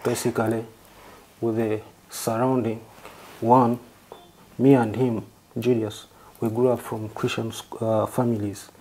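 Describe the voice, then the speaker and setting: A man from southern Uganda with a Ugandan accent, answering interview questions in halting, formally worded phrases. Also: medium pitch, little pitch movement, warm tone, a little hiss or hum, low-quality recording. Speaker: interview guest.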